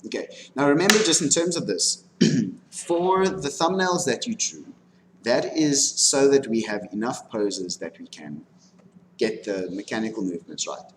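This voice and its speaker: A man lecturing informally on animation software, talking through a live demonstration and often checking in with his students. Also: medium pitch, lively intonation, unhurried. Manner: instructive, frequently checking in with "okay". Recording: background noise, room ambience.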